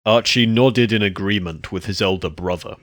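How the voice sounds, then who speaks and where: A man's voice: fairly low pitch, normal speed, fairly bright tone, dry recording. A man reading scripted story narration as the narrator, recorded on a close mic.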